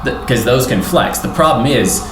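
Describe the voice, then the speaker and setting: A man's voice: medium pitch, normal speed, echoey room, music in the background. A man talking in an easygoing, wry way as co-host of a casual hobby chat.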